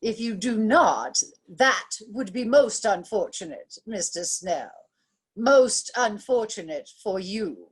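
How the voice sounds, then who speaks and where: Casting: a woman to play a stern radio-drama enforcer, raspy, expressive, politely threatening. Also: mid-range voice. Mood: coldly threatening. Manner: measured, coldly polite, threatening. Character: stern, menacing female enforcer of secret rules. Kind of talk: old-time radio drama acting.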